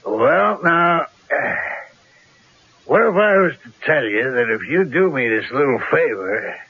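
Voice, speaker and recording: An actor playing a menacing, scheming crime boss with tough, streetwise talk in a radio drama. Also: male voice, fairly high pitch, expressive, slow, gravelly, husky, full character voice. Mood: insistent, sly. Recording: dull old radio broadcast recording.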